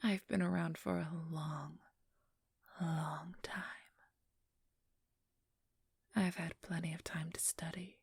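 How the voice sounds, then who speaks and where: A woman's voice: low voice, steady tone, vocal fry, darkish tone, husky, dry recording, exaggerated voice. A woman playing a haughty, teasing, ageless vampire thief in an ASMR role-play, whispering breathily and condescendingly close to the microphone. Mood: sly, boastful. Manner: condescending, teasing, drawn-out delivery. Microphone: close, dry microphone.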